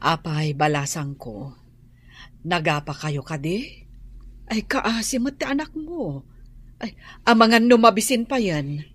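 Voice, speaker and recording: Slow-talking kindly Ilocano woman. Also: on the low side, lively intonation, husky. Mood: concerned, kindly. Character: kindly, unhurried Ilocano woman, parlor owner. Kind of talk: Ilocano radio drama acting.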